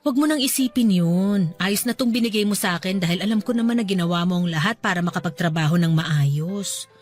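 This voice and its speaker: Actress as gentle, caring wife, soft music behind. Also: female voice, on the low side, brisk, close to an everyday voice. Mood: affectionate. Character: gentle, caring, thrifty middle-aged wife and mother. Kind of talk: radio drama acting. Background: soft music bed.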